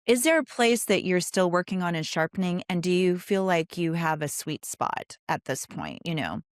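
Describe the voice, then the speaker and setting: A woman with a lowish, smooth voice hosts a conversational interview. She is earnest and affirming and lets her sentences run long, in a very dry close recording. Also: lively intonation, moderate pace, no room sound. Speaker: interview host. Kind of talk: conversational faith-based interview.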